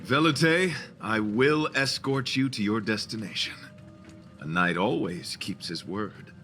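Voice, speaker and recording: A man's voice: on the low side, unhurried, sharp tone, soft background music. A man voicing an earnest, flowery knight in a video game, formal and pompous. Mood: gallant. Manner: pompous, formal, archaic wording. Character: courtly, earnest, flowery knight, theatrically noble.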